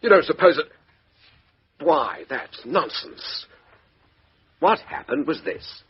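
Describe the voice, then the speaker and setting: Husky, blustering upper-class English major. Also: male voice, on the high side, big pitch swings, slow pace, heavily acted. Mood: indignant, blustering. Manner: clipped, indignant, dismissive. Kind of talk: radio mystery drama acting. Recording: dull, muffled radio drama recording.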